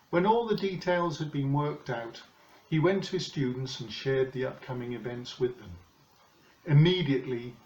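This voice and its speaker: A man in his sixties with a British accent, giving a calm, measured, earnest sermon from his living room. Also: mid-range voice, a little hiss or hum. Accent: British English. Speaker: preacher. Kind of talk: recorded home sermon. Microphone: camera in front of him, seated. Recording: roomy, slightly dull home recording.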